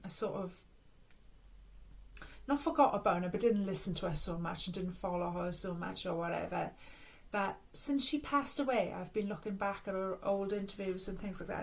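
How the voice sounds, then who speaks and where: A woman's voice: on the low side, low-quality recording. A woman with a Welsh accent, giving an earnest, rambling spiritual reading in a casual, reflective way. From Wales. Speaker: spiritual reader. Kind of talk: casual spiritual talk to camera.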